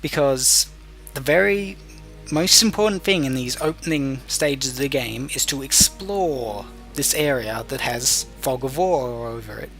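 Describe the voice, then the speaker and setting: Man explaining a game, slightly creaky voice. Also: medium pitch, a little slow, a bit bright, faint music behind. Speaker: gamer hosting a let's play tutorial. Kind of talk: explanatory gameplay commentary.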